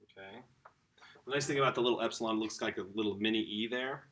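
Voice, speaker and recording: A middle-aged man teaching a casual video lesson, relaxed and chatty, correcting himself as he writes on a board. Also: fairly low pitch, fast.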